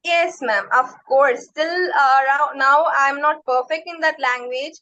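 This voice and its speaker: A woman's voice: high voice, measured pace, pushed and bright, dull audio. A young woman with a Tamil accent, a Tamil native speaker, answering interview questions politely and hesitantly, often saying ma'am. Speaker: English learner and YouTuber, interviewee. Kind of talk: conversational interview.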